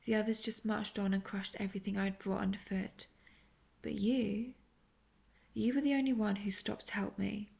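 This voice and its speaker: Woman doing a lovestruck yandere witch, creaky n breathy, slow coaxing half whispers, audio drama roleplay. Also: mid-range voice, steady tone, a little mellow, close to an everyday voice. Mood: wistful, adoring. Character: obsessive young female witch, lovestruck and manipulative.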